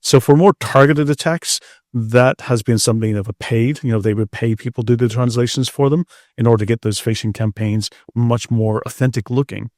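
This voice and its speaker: A man's voice: mid-range voice, fairly even intonation, a little fast, darkish tone, dry recording, studio quality. A middle-aged man speaking as an expert guest, explaining things in a conversational interview. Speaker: expert guest, security researcher.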